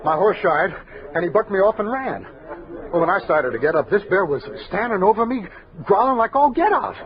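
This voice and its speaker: An actor playing an earnest, flustered frontier soldier telling a tall tale in a folksy, excitable radio drama manner. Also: male voice, high voice, brisk. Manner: folksy, apologetic, excitable storytelling. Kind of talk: 1960s radio drama acting. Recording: dull vintage radio recording.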